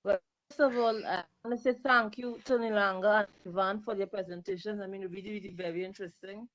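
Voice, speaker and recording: A woman's voice: mid-range voice, steady tone, quick pace, a bit bright, muffled recording. A woman from Trinidad and Tobago, commenting emphatically in a video call discussion and repeating words for stress. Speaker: panel audience member.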